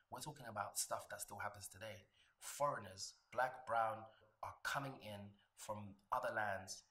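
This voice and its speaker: A man's voice: fairly low pitch, pushed and bright, slight background noise. A British man speaking earnestly in a documentary interview, stressing his points with repetition. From Britain. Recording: music playing under the voice.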